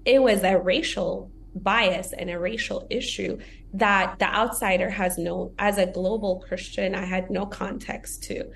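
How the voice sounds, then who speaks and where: A young woman from Ethiopia talks as a guest on a podcast, in a reflective and conversational way. She fills her speech with casual fillers and speaks at a middle pitch. Faint noise runs behind her voice. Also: steady tone, a little slow, creaky, fairly bright tone. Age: young adult. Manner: reflective, uses many fillers like "you know" and "like".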